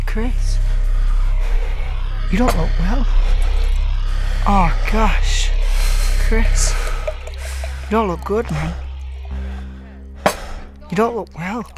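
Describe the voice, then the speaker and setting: A woman's voice: mid-range voice, lightly acted. A woman playing a troubled college-age son in a radio play, whining and teasing. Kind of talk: amateur radio play acting.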